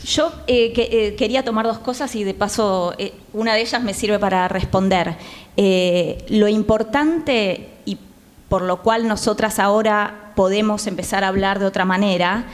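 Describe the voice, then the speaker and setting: A woman's voice: mid-range voice, moderate pace. A woman with an Argentine accent speaking as a panel guest in conversational style, searching for her words. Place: theatre, live panel. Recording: reverberant theatre hall.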